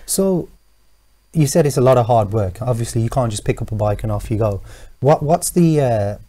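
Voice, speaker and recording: A man hosting a studio panel interview on TV, chatty and quick with his questions. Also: medium pitch, animated, dark tone. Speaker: TV talk show host.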